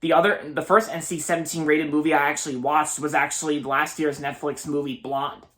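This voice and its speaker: Halting young man reviewing a film. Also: on the high side, flat delivery, fairly bright tone. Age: 20s. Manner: halting, many repeated words and restarts; enthusiastic, gushing. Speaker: movie reviewer. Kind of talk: casual movie review monologue.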